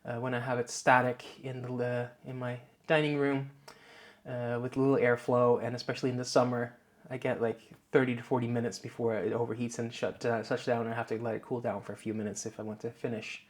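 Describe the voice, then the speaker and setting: A Dutch man talks casually to his own camera at a middling pitch, full of filler "uh"s and meandering explanations. His English carries a light Dutch accent. The sound is close and clean from a small clip-on mic. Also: fairly quick. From Netherlands. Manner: relaxed, many filler "uh"s, rambling explanations. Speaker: YouTuber. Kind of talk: casual vlog talk to camera. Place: home office, indoors. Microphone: wireless clip-on mic.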